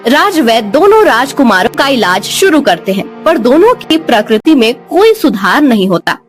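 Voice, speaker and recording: A woman's voice: fairly high pitch, big pitch swings, moderate pace. Casting: female fairy tale narrator, scripted storytelling, very varied melody, light music bed.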